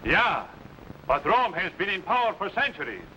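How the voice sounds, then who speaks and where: An actor playing a loyal but doubtful lieutenant in a 1950s TV serial, speaking cautiously and deferentially. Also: male voice, high voice, big pitch swings, moderate pace, sharp tone, lo-fi sound, a little hiss or hum, exaggerated voice. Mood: wary. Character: wary loyal adult male lieutenant to a warlord.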